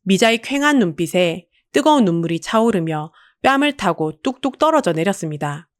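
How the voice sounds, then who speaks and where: A woman narrates an audiobook in a dramatic, scripted storytelling style. Her voice is mid-pitched and recorded very dry, with little room sound. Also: animated, normal speed, dry recording.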